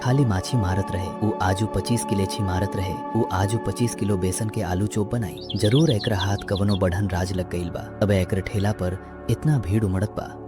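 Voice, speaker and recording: A man's voice: deep voice, fairly even intonation, moderate pace, hardly any pauses, loud music behind the voice, lightly acted. A man playing a puzzled, servile village shop assistant in a cartoon, with a Bhojpuri accent. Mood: puzzled, suspicious. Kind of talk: animated moral story dialogue.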